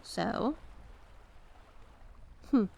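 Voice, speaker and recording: A woman playing a mysterious banished sea witch in an ASMR roleplay, coaxing and knowing, with a teasing, deliberate manner. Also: mellow. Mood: sly, teasing. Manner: slow, teasing, deliberate, coaxing with pet names. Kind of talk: ASMR character roleplay monologue.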